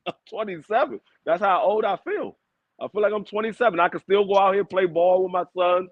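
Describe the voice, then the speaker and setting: A man's voice: high voice, normal speed, dry recording, narrow, tinny audio. A man, co-hosting a podcast and chatting casually, joking and insisting, laughing often. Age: 40s. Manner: joking, emphatic, laughs a lot. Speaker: podcast co-host. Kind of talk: casual chat.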